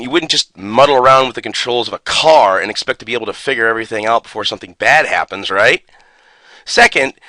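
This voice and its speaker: A man's voice: mid-range voice, bright, forceful tone, dry recording. A man speaking as a gaming YouTuber in an opinionated, sarcastic and exasperated manner with strong emphasis. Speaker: video game YouTuber. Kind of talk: opinionated rant and booklet reading.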